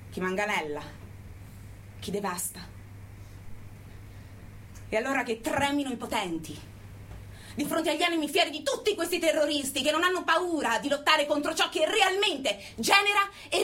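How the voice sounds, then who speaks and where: A woman's voice: sits high, bright, forceful tone, a little hiss or hum. An actress playing a proud, angry imprisoned activist in her thirties, declaiming a political theatre monologue with rhetorical emphasis. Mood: grave, firm. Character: defiant jailed activist woman of thirty-three, proud and angry.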